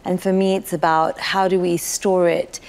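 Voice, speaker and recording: A woman's voice: lowish voice, level tone, moderate pace, creaky, darkish tone. A middle-aged woman from Kenya, a restaurant owner, answering interview questions in a thoughtful, heartfelt way. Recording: light music bed behind.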